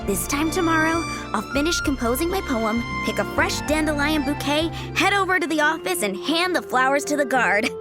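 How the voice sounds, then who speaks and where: A shy young game heroine with a high voice. She is devoted, polite and fretful, speaking hesitantly over music. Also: lively intonation, normal speed, hardly any pauses. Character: shy, earnest young woman admirer, anxious and devoted. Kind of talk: video game character acting. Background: background music.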